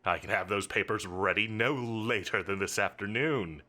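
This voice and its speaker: A man's voice: on the low side, moderate pace, bright, forceful tone. A man playing a smug, menacing villain boss in an audio roleplay, with a theatrical, mocking, condescending delivery. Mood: sarcastic, threatening. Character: smug, menacing male supervillain boss toying with his enemy.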